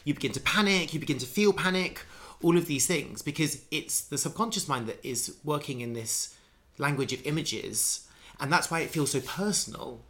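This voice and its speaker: A man's voice: fairly high pitch, moderate pace, a bit bright. A man in casual conversation, musing at length with many restarts and fillers. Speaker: conversation partner.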